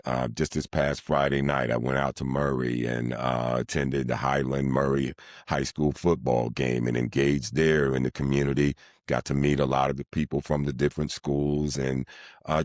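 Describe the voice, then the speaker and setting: A middle-aged man, a sports announcer, speaking in a deliberate, formal and wordy way in an interview. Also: extremely low pitch, a little mellow, no echo, studio quality. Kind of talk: measured, formal interview talk.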